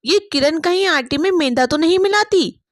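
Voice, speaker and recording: Woman playing caring but firm big sister-in-law in a family story, instructive, high voice, very dry. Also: lively intonation, moderate pace, no echo. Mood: suspicious. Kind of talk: dramatized storybook dialogue.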